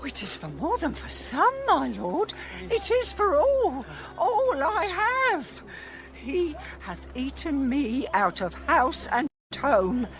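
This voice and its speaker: An actress playing an indignant, long-suffering poor widow in a radio Shakespeare play, garrulous and repetitive. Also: female voice, high-pitched, very animated, slow, exaggerated voice. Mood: pleading.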